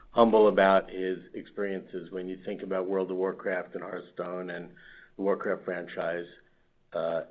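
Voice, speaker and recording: A man's voice: deep voice, slightly rough. A man, a company executive, giving formal, praising remarks on an earnings call. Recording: tinny conference call line.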